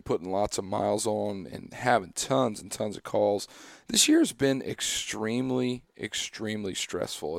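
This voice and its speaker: Hoarse young male podcast host, gravelly and rambling, dry close mic. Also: lowish voice, no echo. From Pennsylvania. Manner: rambling, with many fillers and restarts. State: hoarse, losing his voice. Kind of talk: casual chat.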